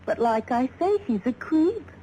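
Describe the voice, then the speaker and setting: An actress playing a shaken but tough young American fashion model in a 1950s radio drama. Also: female voice, high voice, very animated, measured pace. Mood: scornful. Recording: noisy vintage radio recording.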